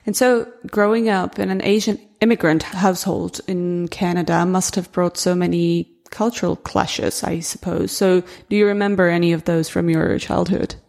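A woman hosts an interview and asks friendly, curious questions. Her voice is fairly low and carries a gravelly fry. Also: little pitch movement, normal speed, hardly any pauses, darkish tone. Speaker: podcast host.

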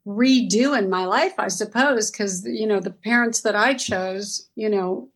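A woman's voice: medium pitch, measured pace. A middle-aged woman from Southern California, a guest telling her family story in a chatty interview, laughing easily and restarting her sentences.

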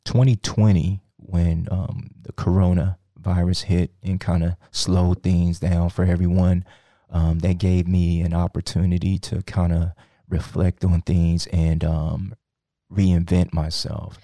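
A man in a podcast studio interview who talks haltingly, with many ums and restarts. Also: deep voice, flat delivery, mellow, no echo. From Nigeria, raised in Texas. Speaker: guest, crypto trader.

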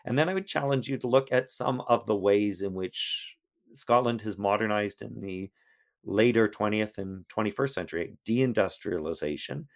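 A man's voice: on the low side, moderate pace, vocal fry. A man, a history professor, answering interview questions in a thoughtful way with frequent restarts.

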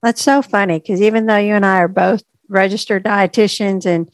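Casual woman co-host, slightly stuffy, mid-pitched, dry close mic. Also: no room sound. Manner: relaxed, chatty, drawn-out fillers. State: a little stuffy. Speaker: podcast co-host, registered dietitian. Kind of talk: casual chat.

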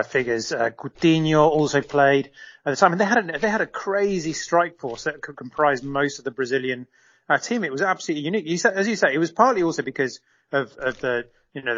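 A man's voice: fairly high pitch, fairly bright tone, highs cut off. A man serving as a correspondent in a live radio interview, explaining at length with frequent fillers and restarts.

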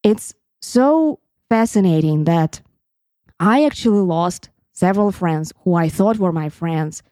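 A woman's voice: fairly low pitch, mellow, dry recording. A woman in her thirties from Crimea whose first language is Russian, telling a personal story on her podcast in an earnest, reflective way. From Crimea, Ukraine. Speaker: podcast host.

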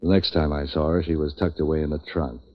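Deep deadpan noir tough guy, terse and slangy, muffled old radio show. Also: fast. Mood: blunt, cool. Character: hard-boiled, streetwise, wisecracking man for hire. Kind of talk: 1940s radio noir acting and narration. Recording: muffled vintage radio recording.

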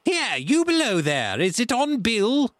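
A man voicing a furious blind beggar pirate chief, shouting imperious orders in an audiobook. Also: very high-pitched, wildly swooping pitch, unhurried, voice pushed up, full character voice. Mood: furious, eager. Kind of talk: audiobook character acting.

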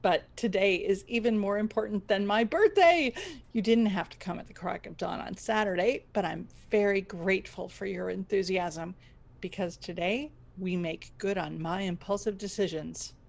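A woman's voice: mid-range voice, moderate pace, vocal fry, natural voice. A woman playing an earnest, frazzled radio station boss in a scripted audio comedy, theatrical and wry. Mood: earnest, businesslike.

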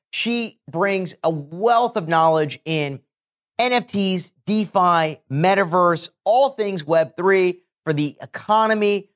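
A man's voice: high voice, fairly bright tone. A man co-hosting a podcast, hyped up and heaping on repetitive praise. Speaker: podcast co-host. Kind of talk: enthusiastic show hosting.

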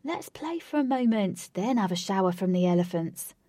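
A woman's voice: medium pitch, lively intonation, normal speed, mellow, no echo, studio quality, close to an everyday voice. A woman voicing a playful young man who teases his friends. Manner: playful, teasing.

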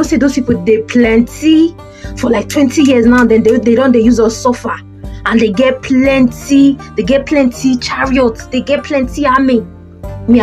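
A woman's voice: on the high side, darkish tone, lightly acted. A Nigerian woman playing a timid, reluctant male army commander who protests expressively in an audio Bible play.

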